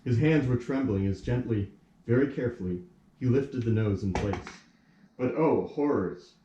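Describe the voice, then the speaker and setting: A man narrates a puppet-theatre tale in a mellow, medium-pitched voice. His storytelling is formal and measured, like a storybook read aloud, in a room with plenty of echo. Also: muffled recording. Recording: echoey room.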